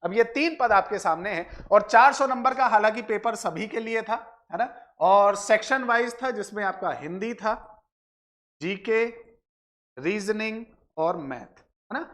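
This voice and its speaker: A man's voice: sits high, measured pace, fairly bright tone. Man explaining to students. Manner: addresses viewers directly, repeats filler phrases and check tags. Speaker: exam coaching presenter. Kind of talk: explanatory exam analysis for students.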